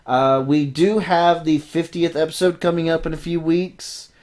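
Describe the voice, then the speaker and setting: A man co-hosting a podcast in casual chat, sarcastic and teasing, with loud outbursts. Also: on the high side, steady tone, moderate pace. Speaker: podcast co-host.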